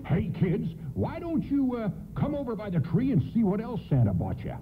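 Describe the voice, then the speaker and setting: A hearty, teasing old Santa Claus in a radio play. The voice is high and swoops theatrically up and down, over a tinny, noisy AM broadcast. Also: male voice, huge pitch swings, moderate pace. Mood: genial. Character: jolly department store Santa Claus, playful older man.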